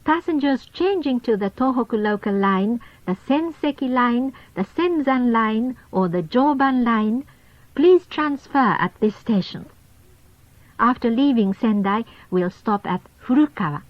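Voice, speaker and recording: Dull taped female train announcer, higher-pitched. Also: lively intonation, dry recording. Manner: formal, polite, measured. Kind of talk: scripted onboard train announcement. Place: recorded announcement played over train speakers. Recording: dull lo-fi cassette tape.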